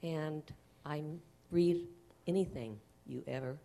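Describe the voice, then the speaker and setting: Low-voiced woman in the audience. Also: slow pace, vocal fry, a little hiss or hum. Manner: loud, earnest thanks. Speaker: audience member.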